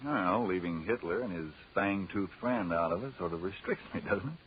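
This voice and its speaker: A man working as a 1940s radio announcer, delivering polished, emphatic sponsor pitches. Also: normal speed, slight background noise. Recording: muffled, husky-sounding 1940s radio recording.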